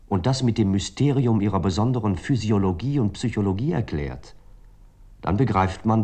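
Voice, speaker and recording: A man's voice: lowish voice, mellow. An actor playing a reflective writer, reading his notes in a grave, contemplative and formal way for a radio play.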